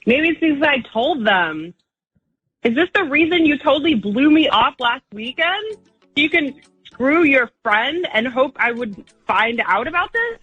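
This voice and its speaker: A young woman with an American accent and a husky voice, speaking bluntly as a radio phone-in caller. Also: high voice, very animated, measured pace, phone-quality sound, faint music behind.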